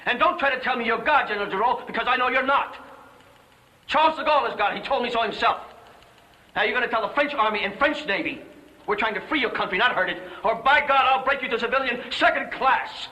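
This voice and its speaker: An actor playing a blunt, tough American general. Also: male voice, lowish voice, fairly quick, pauses often, roomy sound, lightly acted, rough recording. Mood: angry. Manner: forceful, shouting in anger. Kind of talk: film drama acting.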